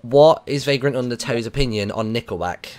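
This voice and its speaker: A British man talking very quickly at a medium pitch. He interviews in a loose, chatty radio style, wandering through his questions informally. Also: a little mellow. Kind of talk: casual radio interview chat.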